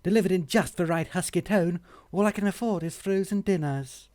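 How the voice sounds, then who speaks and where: A man's voice: high voice, brisk, darkish tone, dry recording, studio quality, voice pushed up, close to an everyday voice. A man voicing a haughty, wounded middle-aged woman politician in a comic story, speaking formally and with a sense of grievance. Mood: pleading.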